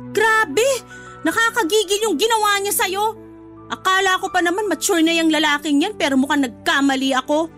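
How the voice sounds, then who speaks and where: A blunt, protective older sister. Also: female voice, very high voice, normal speed, a bit bright, soft background music. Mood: indignant. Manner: blunt, scolding, dramatic.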